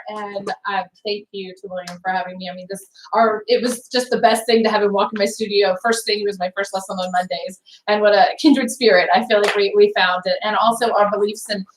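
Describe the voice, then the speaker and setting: A woman's voice: medium pitch, a bit bright, a little hiss or hum. A woman voice teacher leading a singing workshop for a group, friendly and encouraging, often asking the group questions. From came from Baltimore. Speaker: voice teacher, guest clinician. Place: rehearsal room with a group. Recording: echoey room, mic far off.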